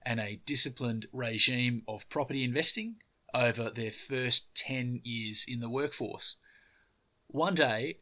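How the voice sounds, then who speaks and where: A man's voice: mid-range voice, sharp tone. An Australian man hosting a podcast, a bit nasal from a cold, reading a scripted intro and then chatting and joking with his guest. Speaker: podcast host. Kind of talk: podcast interview. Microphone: earphones.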